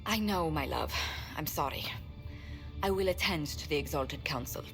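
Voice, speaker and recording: An actress playing a dutiful, worried diplomat in a video game, gentle and formal in her speech. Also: low-pitched, expressive, normal speed, over music. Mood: worried, apologetic. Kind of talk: dramatic video game dialogue.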